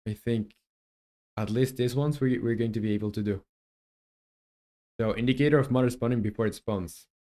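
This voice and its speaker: Man, mid-pitched voice, indie developer streaming his coding, thinking aloud, casual. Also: normal speed, mellow. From Chile. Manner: muses aloud while coding, chats with viewers.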